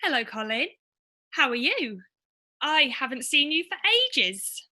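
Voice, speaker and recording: A woman as a high, sweet pantomime daughter. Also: animated, measured pace, sharp tone, exaggerated voice. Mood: fond, eager. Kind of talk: radio pantomime acting.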